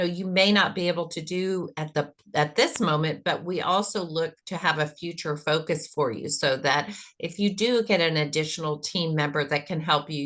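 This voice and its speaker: Texan woman, low bright voice. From Texas. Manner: friendly, conversational, with fillers. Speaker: webinar panelist, content strategist. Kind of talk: webinar panel talk.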